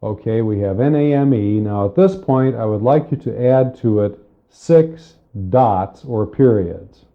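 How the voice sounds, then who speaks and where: A man giving a methodical, step-by-step spoken computer lesson, explaining with pauses, recorded on cassette tape. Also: medium pitch, narrow, tinny audio. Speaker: software tutorial instructor.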